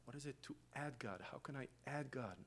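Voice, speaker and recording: A man from Israel with an Israeli accent, a native Hebrew speaker, giving a slow, reflective guest sermon in a church. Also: medium pitch, slight background noise. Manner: slow, reflective, with long pauses and soft trailing phrases. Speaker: guest preacher. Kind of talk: meditative sermon with chanted Hebrew opening.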